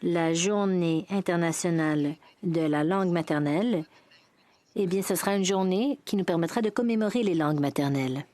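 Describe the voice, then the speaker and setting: Low-voiced woman giving a formal speech. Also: dark tone, no echo. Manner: measured, formal delivery with brief restarts.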